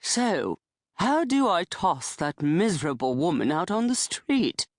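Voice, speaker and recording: A woman voicing a thin, gloomy old man in his late sixties who speaks in a slow, formal and dryly sour way. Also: fairly low pitch, very animated, no echo, studio quality. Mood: glum, formal. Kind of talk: audiobook character voice.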